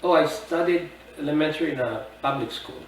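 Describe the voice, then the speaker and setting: A man in his fifties from the Philippines, recalling his life at an easy pace in a plain, matter-of-fact interview. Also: on the high side. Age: 50s. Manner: unhurried, plain-spoken recollection with fillers. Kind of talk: oral history interview. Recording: echoey room, distant mic, faint noise.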